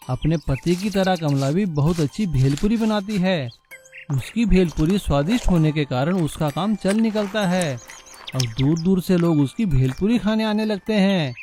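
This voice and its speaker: A man narrating an animated children's moral story in a steady, measured way. His voice is a little high, with light music behind him.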